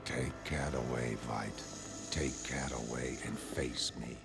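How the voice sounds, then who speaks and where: A man voicing a grim, suspicious masked vigilante in a terse, growling monotone for a motion comic. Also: very deep voice, slow, vocal fry, loud music behind the voice, heavily acted. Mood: grim menace. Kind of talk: dramatic motion comic narration.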